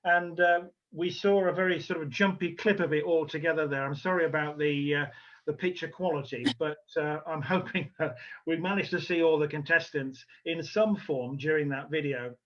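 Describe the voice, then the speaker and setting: A man hosting an online event informally and haltingly, speaking over a video call. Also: a little high, a bit bright. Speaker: host and organiser of a pageant. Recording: muffled lo-fi video call audio.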